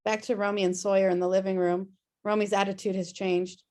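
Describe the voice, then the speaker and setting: Woman narrating a script, medium pitch. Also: flat delivery, brisk, darkish tone. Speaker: narrator reading stage directions. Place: remote video call table read. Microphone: video call.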